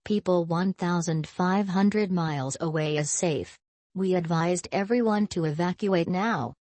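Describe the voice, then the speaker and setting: A synthetic woman's voice reading scripted emergency broadcast announcements in a stiff, impersonal, measured way. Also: lowish voice, a little mellow, no room sound.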